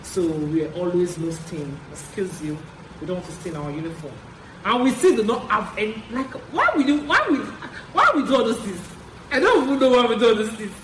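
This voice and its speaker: A young adult woman with a Nigerian accent chats to her viewers in a casual storytelling style. She often repeats herself to drive a point home. The sound is roomy and echoey, with clear noise behind her voice. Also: mid-range voice, brisk. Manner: animated, chatty, repeats phrases for emphasis. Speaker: vlogger.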